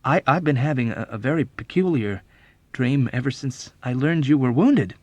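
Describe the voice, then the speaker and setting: A man's voice: mid-range voice, normal speed, no echo, lo-fi sound, pitched above the performer's own voice, natural voice. A man voicing a meek army chaplain in an audiobook, hesitant and anxiously confiding. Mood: anxious confession. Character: meek army chaplain confessing his anxieties.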